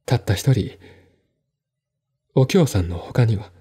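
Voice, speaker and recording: A man voicing a melancholy, earnest, troubled gentleman who confides and pleads, in a one-man radio drama reading. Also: fairly low pitch, lively intonation, takes it slowly, breathy edge, heavily acted. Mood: troubled pleading.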